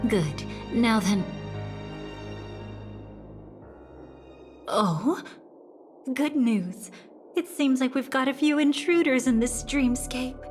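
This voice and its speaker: Video game role: composed, watchful woman, slow deliberate delivery, music underneath. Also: sits high. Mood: cool, teasing. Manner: measured, deliberate. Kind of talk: scripted video game voice acting.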